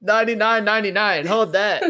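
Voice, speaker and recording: Animated, repetitive tabletop gamer. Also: medium pitch, brisk. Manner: repeats phrases, swears freely. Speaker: tabletop roleplay player. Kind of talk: casual excited game chat.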